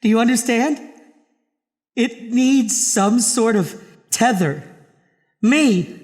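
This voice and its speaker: Male actor as desperate man in monster's thrall: slow, halting, intense horror-drama delivery, reverberant space. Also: extremely high pitch, darkish tone. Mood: obsessed. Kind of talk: horror radio drama acting. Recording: long hall-like reverb.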